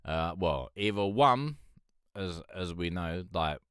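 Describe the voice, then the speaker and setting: A man with a deep voice hosting a music review show, talking frankly and loosely, swearing and rambling with lots of fillers. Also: expressive, slow pace, fairly bright tone, clean tone, dry recording, studio quality. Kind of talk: casual chat reviewing submitted songs.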